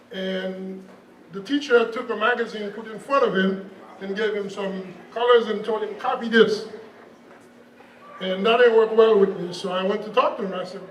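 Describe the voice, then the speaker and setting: A man, an artist and parent, telling a personal anecdote and acting out the dialogue he quotes. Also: high voice. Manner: storytelling, acts out quoted dialogue. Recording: echoey room from far off, faint background noise.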